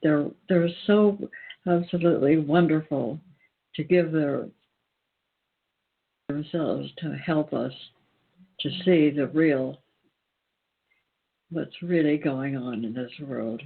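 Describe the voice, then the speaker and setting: Slow, higher-voiced man on a phone-like line. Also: vocal fry, slightly rough. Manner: deliberate, emphatic repetition with pauses. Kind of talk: earnest spiritual talk. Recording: tinny, phone-like band-limited sound.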